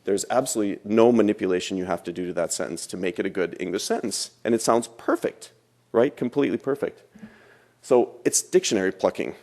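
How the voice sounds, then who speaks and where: A man's voice: lowish voice, animated, brisk, darkish tone. A man giving a lecture as a language teacher, explaining step by step and repeating phrases for emphasis. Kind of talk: classroom lecture.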